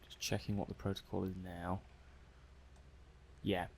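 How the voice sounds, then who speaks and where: A man acting as a methodical robot-testing technician in an ASMR roleplay. His voice is creaky and even in pitch, and he works through things slowly and hesitantly, half muttering to himself in a mild, matter-of-fact way. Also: slight background noise. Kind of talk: soft-paced ASMR roleplay monologue.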